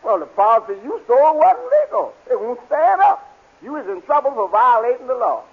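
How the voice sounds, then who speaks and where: Very high-pitched, swooping shady lawyer. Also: male voice, big pitch swings, brisk, creaky, husky, full character voice. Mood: cheerful, confident. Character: cheerfully inept, shady male lawyer. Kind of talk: 1940s radio comedy acting. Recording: muffled old radio recording.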